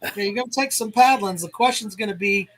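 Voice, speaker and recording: Bright high-voiced male show host. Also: a little fast, low-quality recording. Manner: friendly, addresses caller as sir. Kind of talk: casual call-in show hosting.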